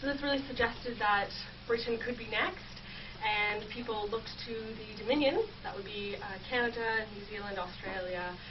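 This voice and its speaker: A woman's voice: fairly high pitch, normal speed, recorded from a distance. A young adult woman explaining her research informally as a guest in a radio interview. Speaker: guest researcher, history PhD student. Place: campus radio station. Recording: muffled, noisy, off-mic radio audio.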